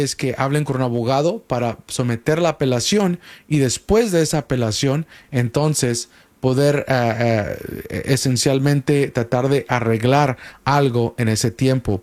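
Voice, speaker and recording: A man's voice: mid-range voice, a little mellow. A man hosting a radio call-in show as an immigration lawyer. He explains patiently, asks many questions and often restarts words. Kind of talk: radio call-in legal advice.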